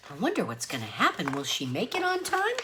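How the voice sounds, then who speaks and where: A woman reading a children's picture book aloud expressively, deliberate and emphatic, with asides to listeners. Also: lowish voice, huge pitch swings. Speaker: read-aloud storyteller.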